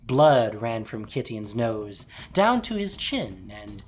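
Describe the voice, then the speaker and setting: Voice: mid-range voice, sing-song, slow pace, breathy edge. A narrator reading a scripted fantasy story in a dramatic audio drama style. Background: soft music.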